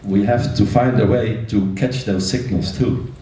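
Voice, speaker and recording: A man's voice: lowish voice, steady tone, a little slow, dark tone. A man making a spontaneous, hesitant comment from the audience at a meeting, often repeating words as he goes. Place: large echoey room at a meeting. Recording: echoey hall, distant microphone.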